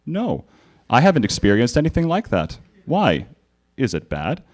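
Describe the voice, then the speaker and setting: A man voicing an ancient regal female pony princess in a live comedic reading, with loud outbursts. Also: mid-range voice, wildly swooping pitch, moderate pace, no room sound, studio quality. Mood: puzzled.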